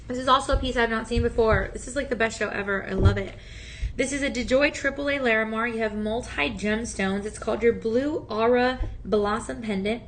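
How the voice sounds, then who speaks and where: A woman hosting a shopping channel, chatty and encouraging, with a slightly raspy voice that is still healing from talking so much. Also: on the high side, a little hiss or hum. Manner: chatty, upbeat and encouraging, many fillers. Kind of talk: live TV sales pitch. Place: TV studio.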